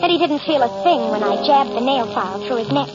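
An actress playing a coy, cheerful murderess in old radio drama, chatty and mock-innocent. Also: female voice, high-pitched, animated, fast, loud music behind the voice. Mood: confiding, smug. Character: cheerful, coy murderess narrating her crimes, primly self-justifying. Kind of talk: 1940s-50s radio drama acting. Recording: dull old radio broadcast, noisy.

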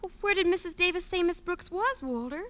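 A woman's voice: very high voice, lively intonation, brisk, a bit bright, dull audio. An actress playing an eager, gossipy teenage schoolgirl in the style of old radio comedy. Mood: curious, teasing. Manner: girlish, gossipy. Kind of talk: 1940s-50s radio comedy acting. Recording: old radio recording with faint hiss.

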